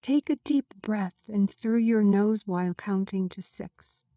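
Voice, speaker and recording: A woman reading a scripted guided meditation as a guide, giving soothing, measured instructions. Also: mid-range voice, warm tone, no echo.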